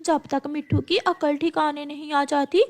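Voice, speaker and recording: A woman's voice: high voice, fairly even intonation, a little slow, gravelly. A woman voicing a hurt, indignant female parrot wife in a children's cartoon, with a plaintive manner. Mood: tearful, resolute. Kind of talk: Hindi children's cartoon voice acting.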